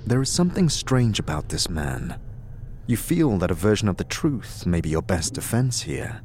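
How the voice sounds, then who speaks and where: Deep-voiced male audio drama narrator. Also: very animated, moderate pace, darkish tone, slight background noise. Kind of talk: scripted second-person audio drama narration.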